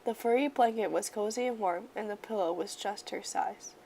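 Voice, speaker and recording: A woman reading a children's story aloud in an expressive, measured storyteller's manner. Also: mid-range voice, creaky, a little hiss or hum, low-quality recording. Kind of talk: children's picture book read-aloud.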